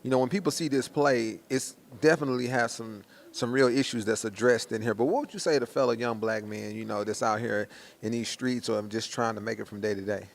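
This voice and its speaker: Fast, gravelly American hype-man interviewer. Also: mid-range voice. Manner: slangy, hype-man delivery with catchphrases. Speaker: interviewer and host. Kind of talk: hyped promotional street-style interviewing.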